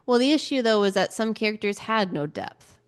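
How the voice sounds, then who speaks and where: A woman's voice: medium pitch, lively intonation, brisk, no room sound, studio quality. A woman co-hosting a book podcast in casual chat, thinking aloud in an informal way.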